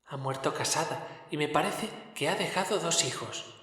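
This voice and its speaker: A man voicing a hushed solemn ghost. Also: a little high, normal speed, very echoey, big-room sound, voice pushed up. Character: solemn ghostly spirit guiding an old man.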